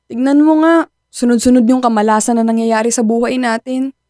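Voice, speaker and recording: A woman's voice: a little high, fairly even intonation, a little fast, darkish tone, dry recording, studio quality, natural voice. An actress with a Filipino accent playing an anxious, guilt-ridden young woman in radio drama dialogue, fretful and pleading.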